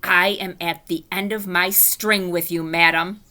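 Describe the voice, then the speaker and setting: A woman voicing a chatty, spunky kindergarten girl in a storybook read-aloud, eager and loud when excited. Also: mid-range voice, normal speed.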